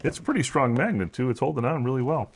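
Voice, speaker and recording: Animated, creaky-voiced man unboxing a product. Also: medium pitch, fast talker. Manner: enthusiastic, exclaiming. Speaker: product review co-host. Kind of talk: casual chat during unboxing. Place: indoors at a home.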